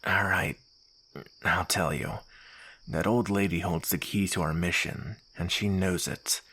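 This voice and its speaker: Hardened ex-comrade mercenary played by a man: low, husky, resigned and bitter, audio roleplay. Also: steady tone, bright, forceful tone, heavily acted. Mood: grudging, menacing. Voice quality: gravelly, husky. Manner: bitter, grim, deliberate. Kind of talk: ASMR roleplay audio drama.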